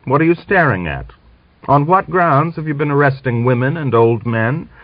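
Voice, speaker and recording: A man's voice: medium pitch, animated, normal speed, dry recording, voice pushed up, natural voice. Man acting Cossack staff officer, blustery, cajoling, exclamatory, audiobook, dry dull recording. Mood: indignant.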